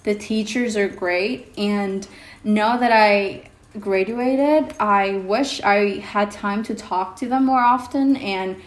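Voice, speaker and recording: A young woman vlogger whose first language is Indonesian, talking casually to her viewers with many pauses and ums. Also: medium pitch. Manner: hesitant, many ums, reflective. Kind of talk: casual vlog talk to camera. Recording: echoey room with faint music.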